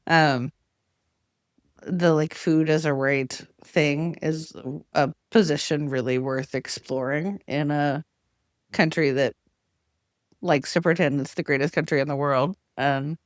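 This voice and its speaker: A woman's voice: low-pitched, steady tone, slow pace, no room sound, clean studio sound. A woman on a political podcast panel, chatting casually and enthusiastically in a very informal way.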